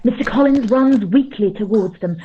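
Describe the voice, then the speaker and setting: A woman's voice: a little high, normal speed, a little hiss or hum, lightly acted. A woman narrating a scripted period radio play as a neutral storyteller, on a tinny recording.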